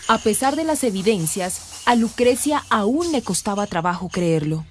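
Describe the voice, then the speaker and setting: A woman's voice: mid-range voice, expressive, moderate pace, noisy background, natural voice. A woman narrating a serious radio soap opera from a script. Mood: serious storytelling. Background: music.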